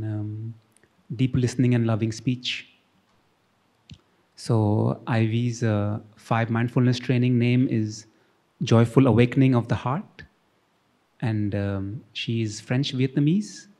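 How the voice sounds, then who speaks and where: A man hosting a gathering, introducing speakers informally and pausing often. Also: medium pitch, warm tone.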